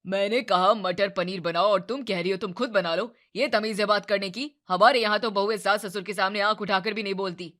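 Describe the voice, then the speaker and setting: A woman puts on a booming, stern father-in-law in his middle years, scolding and demanding at a raised volume. The voice sits a little lower than an ordinary woman's and stays bright and forceful. It is a storybook telling. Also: expressive, measured pace, hardly any pauses.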